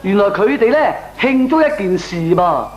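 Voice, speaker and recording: A man's voice: high-pitched, normal speed. A man playing a talkative, moralising older uncle with a Hong Kong Cantonese accent in a comedic radio drama, exclaiming as he explains. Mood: chatty, intrigued. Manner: animated, expository, exclamatory. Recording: roomy, echoing radio drama recording.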